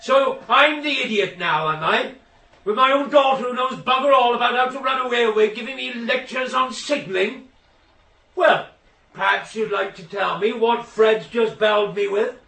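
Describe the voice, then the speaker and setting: An actor playing a proud, touchy middle-aged signalman father in a radio drama, gruff and lecturing. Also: very high voice, moderate pace, bright, forceful tone, exaggerated voice, low-quality recording. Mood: indignant. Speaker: railway signalman in a radio play. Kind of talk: naturalistic radio drama acting.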